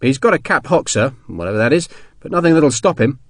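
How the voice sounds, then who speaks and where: A man's voice: mid-range voice, very animated, brisk, dry recording, voice pushed up. Audiobook part: deferential, stubborn soldier from the ranks, colloquial, bouncy intonation, dry close recording, voiced by a male narrator. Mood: eager, evasive. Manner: colloquial, punctuated with deferential sirs. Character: rough-spoken army sergeant, deferential, stubborn, slyly innocent. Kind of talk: audiobook character dialogue.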